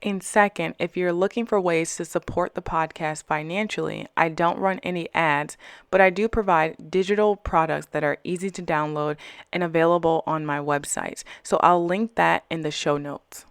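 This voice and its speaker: Upbeat lady podcast host, kinda low voice, dry close sound, chatty. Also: fairly even intonation, normal speed, clear, resonant tone, dry recording. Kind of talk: podcast interview.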